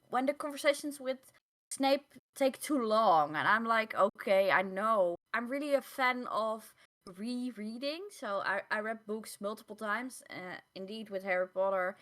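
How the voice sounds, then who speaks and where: Female podcast co-host, voice a bit high, casual halting delivery with repeated words. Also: fairly bright tone. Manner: halting, repeats words, non-native English phrasing. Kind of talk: casual chat.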